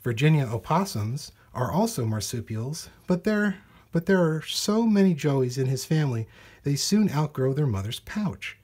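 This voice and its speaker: A man with a husky voice reading a children's nature storybook aloud in measured, deliberate phrases. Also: a little high, very animated, a little mellow. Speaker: storybook reader. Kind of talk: read-aloud children's nonfiction storybook narration.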